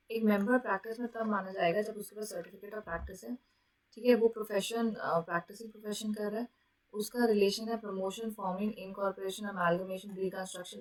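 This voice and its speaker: An Indian woman gives an exam-prep lecture in a medium-pitched voice. She has a sore throat and sounds like a teacher explaining point by point. She mixes languages and keeps adding short check-in tags for her students. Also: low-quality recording. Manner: mixes Hindi and English, frequent check-in tags.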